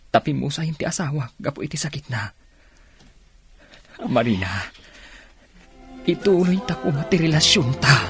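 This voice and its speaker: A man's voice: mid-range voice, breathy edge. A man playing a remorseful, pleading former boyfriend with an Ilocano accent in a radio drama.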